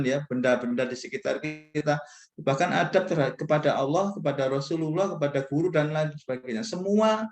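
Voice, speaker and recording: A man gives an informal religious lecture to students as a professor, in a conversational, rambling, self-deprecating way. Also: on the high side. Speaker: university professor speaking as guest mentor. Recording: echoey, roomy sound.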